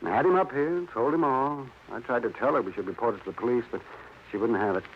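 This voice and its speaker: A man's voice: mid-range voice, fast, lightly acted. An American actor playing a fussy, chatty, nervously affable male dentist in an old radio crime drama, hesitant and over-polite. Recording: dull, noisy vintage radio recording.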